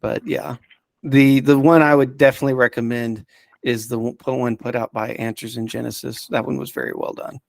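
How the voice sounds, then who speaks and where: A man giving recommendations as a podcast guest in casual unscripted chat, enthusiastic but halting and rambling as he searches for words. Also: medium pitch, steady tone, creaky, no room sound. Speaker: podcast guest reviewing audio dramas.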